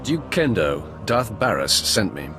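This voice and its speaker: An actor playing a cold, arrogant young Sith apprentice in a video game, curt, menacing and sardonic. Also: male voice, on the low side, sing-song, measured pace, over music. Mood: cold confidence. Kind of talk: video game cutscene acting.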